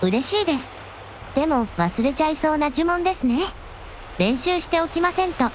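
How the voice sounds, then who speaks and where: A woman playing a young dragon princess in a radio drama, devoted and gentle, with refined, deferential, ladylike speech. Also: high voice, lively intonation, moderate pace, slight background noise. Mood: polite, grateful. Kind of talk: Japanese radio drama acting.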